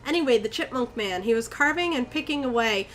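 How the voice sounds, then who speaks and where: A woman's voice: fairly high pitch, lively intonation, fairly quick, fairly bright tone, low-quality recording. A woman plays an uneasy mother from a story game. She has a Maine accent and recounts a horrifying memory in dramatic first-person storytelling. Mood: uneasy, reflective.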